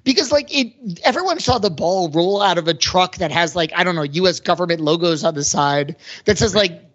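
A man's voice: high voice, lively intonation, fairly quick, fairly bright tone, muffled recording. A man rambling and thinking aloud as he casually discusses movies as a podcast host.

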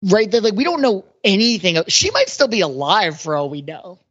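A man's voice: high-pitched, wildly swooping pitch, moderate pace, a bit bright, husky. Man, casual podcast co-host, conversational, loose phrasing, slightly dull lo-fi audio. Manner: conversational, peppered with "like". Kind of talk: casual film discussion.

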